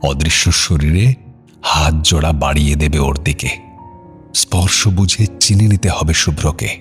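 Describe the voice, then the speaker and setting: A man narrating a scripted audio drama in a measured, somber storytelling manner. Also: extremely low pitch, faint music behind.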